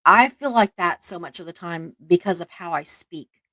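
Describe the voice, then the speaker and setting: A woman's voice: on the low side, normal speed, fairly bright tone, dry recording. A middle-aged woman with a Southern US accent, hosting a live stream in casual, self-deprecating chat, laughing often and using many fillers.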